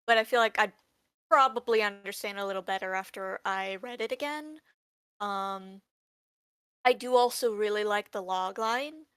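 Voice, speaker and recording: A woman's voice: mid-range voice, fairly bright tone, clean tone, dry recording, clean studio sound. A woman giving casual, tentative feedback in a group discussion, in an informal way. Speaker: novice screenwriter giving feedback.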